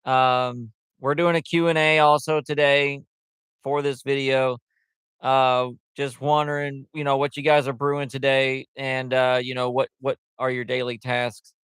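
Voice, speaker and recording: A man's voice: mid-range voice, level tone, a little slow, a bit bright, clear, resonant tone, no echo. A man hosting a casual livestream, rambling in a loose, chatty way with lots of fillers, talking into a headset mic. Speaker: livestream host.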